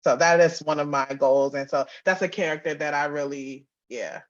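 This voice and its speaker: A woman's voice: low voice, steady tone, normal speed, dull audio. A woman giving casual, candid interview answers as a guest author, chatty and emphatic. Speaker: guest, author and audio drama writer-producer.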